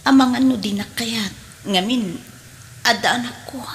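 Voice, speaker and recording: A woman's voice: a little high, unhurried. A woman playing a subdued, apologetic young single mother in an audio drama, speaking hesitantly with an Ilocano accent. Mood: hesitant, uneasy. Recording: long reverb, faint background noise.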